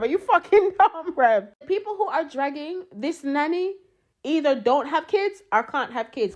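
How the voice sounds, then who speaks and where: A woman's voice: sits high, muffled recording. A woman, ranting casually and swearing freely in short social media clips. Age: 30s. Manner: brash, sweary, animated. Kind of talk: casual storytime rants.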